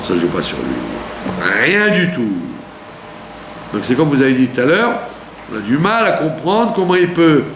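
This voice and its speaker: Animated rabbi teaching in an echoey room. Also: male voice, a little high. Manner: animated teaching, with rhetorical questions and frequent "d'accord?". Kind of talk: Torah lesson sermon. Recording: echoey room with faint hum.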